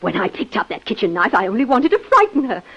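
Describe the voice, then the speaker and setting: Jealous anxious society lady, old 1950s radio drama, halting and theatrical, muffled sound. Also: mid-range voice, big pitch swings, slightly rough. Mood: bitter, indignant. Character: jealous, anxious society woman, banker's sister. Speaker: radio actress. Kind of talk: 1950s radio melodrama acting. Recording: muffled old-time radio broadcast recording.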